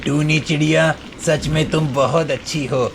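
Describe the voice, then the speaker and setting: A man's voice: on the high side, steady tone, unhurried, gravelly, noisy background. A man voicing a grown male crow who is blunt and gloomy in a cartoon story. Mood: grateful. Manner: blunt, familiar.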